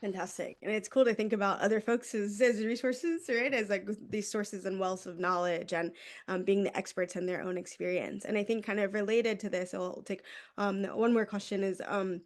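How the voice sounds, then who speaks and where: A woman's voice: mid-range voice, steady tone, moderate pace. A woman moderates an online panel and hands questions to the speakers. She sounds upbeat and encouraging, with a chatty, friendly way of talking. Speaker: webinar moderator.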